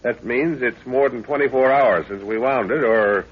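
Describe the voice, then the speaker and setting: A man's voice: mid-range voice, moderate pace, slightly rough, lightly acted. An actor playing an irritable, curious older professor and father, exclaiming and stammering in a 1930s radio drama. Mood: puzzled, intent. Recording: dull lo-fi 1930s radio recording.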